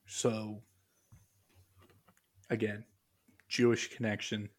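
A man with a fairly low voice talks in a loose, rambling way, thinking out loud. He pauses on fillers and restarts his sentences while working through his notes. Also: little pitch movement. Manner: muses aloud while reading from notes. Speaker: podcast co-host. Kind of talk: casual podcast chat.

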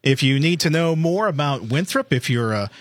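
A man's voice: fairly high pitch, animated, normal speed, no room sound. Upbeat man hosting live radio. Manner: upbeat, chatty, many fillers. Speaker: radio show host. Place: radio studio.

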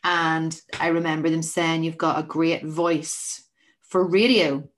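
Deep-voiced woman rambling through stories. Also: fairly even intonation, slow. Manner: rambling, chatty, self-interrupting storyteller. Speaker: podcast host. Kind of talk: casual chat.

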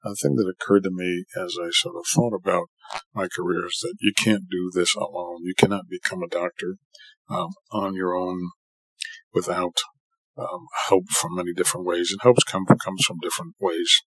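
A man's voice: sits low, flat delivery, moderate pace, a bit bright, husky, no echo, rough recording. A middle-aged man, telling his own story for a podcast in an earnest way, often rephrasing for emphasis. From New York City, United States. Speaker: podcast guest, surgeon and professor. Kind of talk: autobiographical monologue for a podcast.

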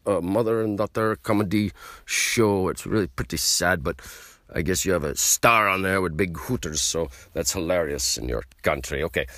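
A man's voice: low voice, no echo, natural voice. A man puts on a rambling mock-host who talks. He stumbles along with plenty of fillers, and the sound is dry and close. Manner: rambling, halting, many fillers. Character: mock Russian man hosting a comedy show. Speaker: comedy show host. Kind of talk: improvised comedy monologue.

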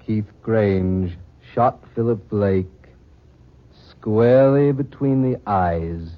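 A man's voice: on the low side, slow pace. An actor playing a mysterious, grimly insistent masked man in a 1940s radio drama, speaking with solemn deliberation. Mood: solemn, ominous. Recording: dull 1940s radio broadcast recording.

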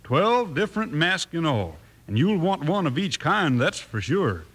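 An American man doing a folksy, enthusiastic 1950s radio advertising pitch aimed at children. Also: big pitch swings, a little slow, fairly bright tone, breathy edge. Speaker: radio ad co-announcer.

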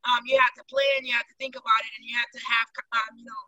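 A woman from Haiti chats as a guest on a podcast, lively and quick in her bursts of speech. Her voice is high and bright. The sound is dry and close. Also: no echo, low-quality recording. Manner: lively, laughs often, speaks in quick bursts. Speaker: guest, business owner. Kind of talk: casual interview chat.

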